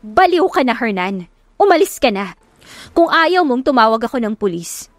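A woman's voice: on the high side, big pitch swings, normal speed, dry recording. A woman voicing a wronged wife, resolute and emotional, in an audiobook romance drama. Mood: firm, angry. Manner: firm, emotional.

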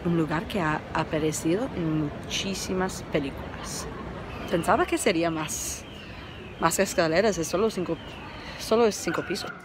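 A woman's voice: on the low side, moderate pace, background noise. Young woman vlogging in learner's Spanish. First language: English. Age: young adult. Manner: learner's Spanish with slips and restarts. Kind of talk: travel vlog to camera. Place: outdoors in a city, phone held at arm's length. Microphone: phone at arm's length.

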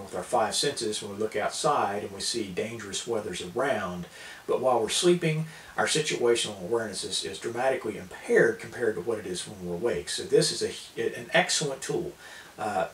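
An American man in his thirties giving an informal explainer to camera, with a halting delivery full of pauses. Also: lowish voice. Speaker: preparedness video host. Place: small indoor room at a table. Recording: roomy, distant mic, hissy background.

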